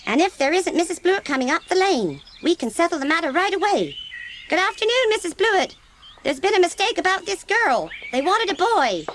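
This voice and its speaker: A woman's voice: extremely high pitch, sing-song, brisk, fairly bright tone, a little hiss or hum, full character voice. An actress playing a chatty, fussy, sociable village woman in a dramatised audiobook. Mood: bright, bustling.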